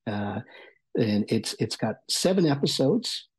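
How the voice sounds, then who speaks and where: A man's voice: medium pitch, sing-song, a little slow, breathy edge, poor audio. A man giving an enthusiastic, rambling conversational review as a guest on a talk show. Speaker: guest reviewer of audio entertainment.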